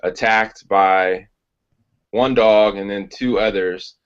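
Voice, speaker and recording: Low-voiced man hosting a podcast interview. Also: steady tone, smooth, muffled recording. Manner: measured and thoughtful, with "uh" fillers. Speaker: podcast host. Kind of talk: casual interview.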